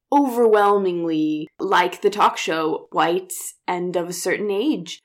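A woman co-hosting a discussion podcast, explaining and criticizing in an earnest, conversational way. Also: lowish voice. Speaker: podcast co-host.

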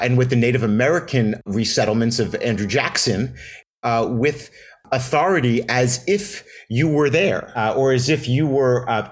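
Man, novelist guest, mid-pitch, reflective, halting restarts. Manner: thoughtful, many restarts and fillers. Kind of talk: reflective interview answers.

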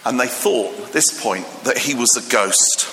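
A Greek-born man preaching a sermon, retelling stories in a lively, animated way with many restarts and fillers. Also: mid-range voice, measured pace, background noise. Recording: echoey hall with long reverb, faint ambience.

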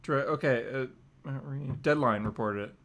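A man's voice: medium pitch, moderate pace, fairly bright tone. A man co-hosting a podcast in loose, casual chat. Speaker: podcast co-host.

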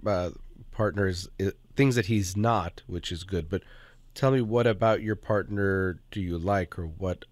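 Calm low-voiced male radio counselor. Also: no echo. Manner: calm, reflective, asks probing questions. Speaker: call-in show host, counselor. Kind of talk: counseling a caller.

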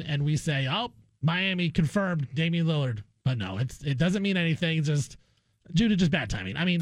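A man's voice: a little high, no room sound. A man hosting a sports talk radio show, giving opinionated commentary in a conversational way. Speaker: sports radio guest host. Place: radio studio.

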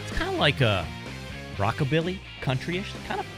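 A man in his thirties co-hosting a radio talk show, speaking in an animated way and restarting his sentences often. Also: a little high, moderate pace, over music. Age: 30s. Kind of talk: casual talk radio discussion. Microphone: studio microphone.

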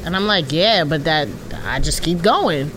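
A woman's voice: low-pitched, animated, measured pace, fairly bright tone. Woman in her late twenties, noisy recording. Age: late 20s. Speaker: podcast co-host. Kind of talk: candid casual panel chat.

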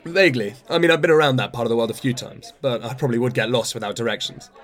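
Fast-talking, garrulous older male playwright played by an actor. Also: mid-range voice, lightly acted. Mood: amused, curious. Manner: garrulous, opinionated, wry. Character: self-absorbed older male playwright, rambling, grumbling, patronising. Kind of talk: naturalistic radio play dialogue.

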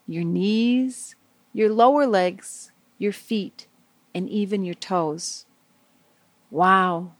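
A woman leading a guided visualization for young children, speaking in simple, soothing phrases with gentle pauses. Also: medium pitch, vocal fry, dry recording.

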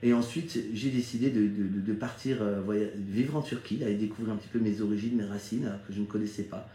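Middle-aged French man, mellow voice, echoey room. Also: fairly low pitch, fast, slight background noise. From Strasbourg, Alsace, France. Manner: conversational, seeks listeners' agreement with frequent check-ins. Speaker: network marketing leader. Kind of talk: informal motivational talk recounting own career. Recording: echoey, roomy sound.

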